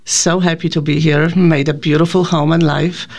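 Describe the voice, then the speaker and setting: A woman's voice: sits low, level tone, moderate pace, darkish tone. A woman in her fifties with a Polish accent, a radio interview guest who talks effusively and nostalgically. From Poland. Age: 50s.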